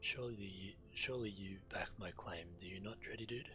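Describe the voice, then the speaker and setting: A deep, bright-edged male dragon voice, proud and formal. He pleads his claim with self-important conviction, in video game dialogue with music underneath. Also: fairly quick. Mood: persuasive. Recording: music behind the voice.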